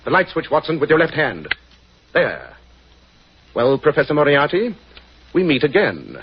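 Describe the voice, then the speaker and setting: Resolute sleuth, authoritative and formal, very varied pitch, vintage radio drama. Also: male voice, wildly swooping pitch, moderate pace, breathy edge, heavily acted. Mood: stern menace. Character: shrewd, commanding gentleman detective, stern and resolute. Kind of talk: 1940s radio drama acting. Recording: dull, noisy 1940s radio recording.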